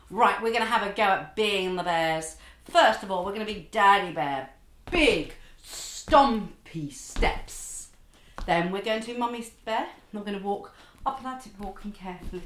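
A middle-aged woman leads an interactive story drama class for young children in a theatrical, coaxing, exclamatory way. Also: a little high. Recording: roomy echo, loud music behind. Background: loud keyboard music.

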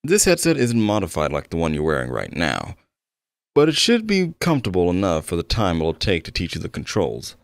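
A man acts out an eager male game developer in an intimate audio roleplay. His voice is low and swings expressively as he talks attentively, a little awkward and quick to apologize. The sound is close and dry. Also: huge pitch swings, dry recording, exaggerated voice. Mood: attentive, helpful. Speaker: roleplay voice actor. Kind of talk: ASMR-style roleplay addressed to the listener.

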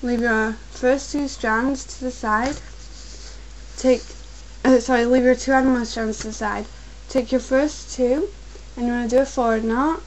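Young woman tutor, getting a cold, calm and repetitive, recorded on a computer mic at her desk. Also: fairly high pitch, a little hiss or hum, rough recording. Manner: patient, repetitive instructions, slow and careful at times. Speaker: crafts tutorial maker. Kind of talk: step-by-step craft instruction.

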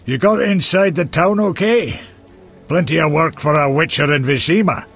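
An actor playing a blunt, crude, hearty dwarf in a fantasy game, talking in an earthy way. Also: male voice, on the high side, moderate pace, fairly bright tone, slightly rough, soft background music.

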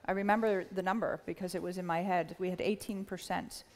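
A middle-aged woman with a fairly low voice talks on a panel in a large hall, and the long reverb trails after her words. She speaks in a measured way, pausing on fillers and restarting her sentences. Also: fairly even intonation. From United States. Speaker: panelist, company executive. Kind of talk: panel discussion. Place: large hall with a live audience.

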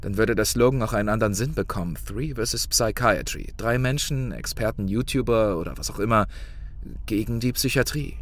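A man acts out a friendly, nervous contestant in a horror audio play. His voice is on the low side. He coaxes and placates, often hesitating and stumbling over his words. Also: a little fast, a little hiss or hum, natural voice. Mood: coaxing. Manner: hesitant, stammering, coaxing. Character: friendly, placating, anxious male reality-show contestant. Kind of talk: German horror audio drama acting.

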